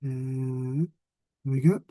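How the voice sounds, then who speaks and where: A man in his sixties with a South African accent, a retired language teacher talking casually to former students, often pausing with ums and restarting sentences. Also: mid-range voice, steady tone, warm tone, dry recording. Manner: hesitant, many ums and restarts.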